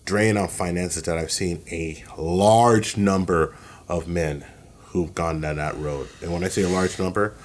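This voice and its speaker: Very deep-voiced man, emphatic podcast host. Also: steady tone, a little slow. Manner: emphatic, repeats phrases while building a point. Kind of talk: casual reaction chat.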